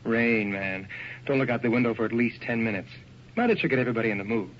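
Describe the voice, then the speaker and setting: An actor playing an enthusiastic, slangy photographer's assistant in a radio drama, hip and wisecracking. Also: male voice, mid-range voice, animated, quick pace, natural voice. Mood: breezy, wisecracking. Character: breezy, enthusiastic young male photographer's assistant. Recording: noisy, dull old radio recording.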